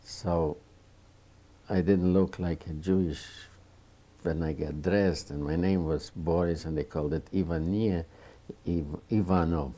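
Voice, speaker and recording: A man in his seventies from rural Romania, answering interview questions haltingly, with many restarts. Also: sits low, steady tone, warm tone. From Bukovina, Romania. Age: 70s. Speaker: Holocaust survivor interviewee. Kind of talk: oral history interview.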